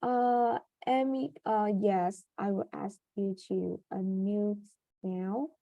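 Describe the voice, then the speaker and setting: A woman's voice: mid-range voice, fairly even intonation, slow pace, gravelly, no echo, dull audio. A woman host runs an online Q&A in polite, procedural with a lilt and the odd hesitation. Her voice sits in a middle register and sounds dry and close to the mic, as on a video call. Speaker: webinar moderator. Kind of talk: hosting a webinar Q&A.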